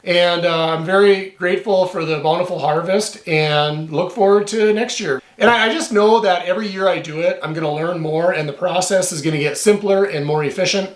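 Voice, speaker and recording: A man with a hoarse voice, chatting in a relaxed, rambling way as he wraps up an outdoor vlog. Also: sits high, a little fast, few pauses, reverberant. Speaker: outdoor vlogger. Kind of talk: casual vlog talk to camera. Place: off-grid cabin in the northern woods.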